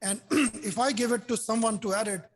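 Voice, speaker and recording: Man, 60s, high voice, mixed Indian-French accent, eager video-call talker who restarts sentences. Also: fairly even intonation, brisk. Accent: Indian and French blend. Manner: enthusiastic, repeats "so basically", frequent restarts. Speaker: customer and software developer. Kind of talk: casual video call chat.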